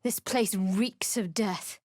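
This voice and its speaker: An actress voicing a guarded, sardonic young woman cleric of a dark goddess in a video game, speaking in a cool, dry and wary way. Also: mid-range voice, slow pace, dry recording. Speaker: game companion character.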